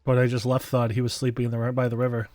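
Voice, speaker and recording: A man's voice: mid-range voice, completely flat delivery, racing, darkish tone, no room sound. A man giving casual let's play commentary as he plays through a game quest. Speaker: gamer playing through a quest.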